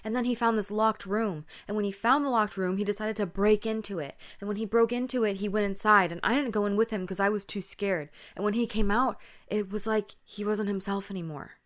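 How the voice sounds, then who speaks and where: A woman's voice: mid-range voice, moderate pace, darkish tone, natural voice. A woman voicing a panicked, pleading young woman on the phone to a friend in a scary story, with frantic, repetitive speech. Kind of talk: spoken scary-story dialogue.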